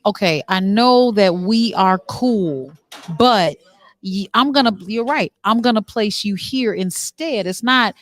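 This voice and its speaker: A woman hosting a backstage interview in an informal, animated and effusive way. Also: mid-range voice, slow pace. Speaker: talk show host. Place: on location backstage after a stage play.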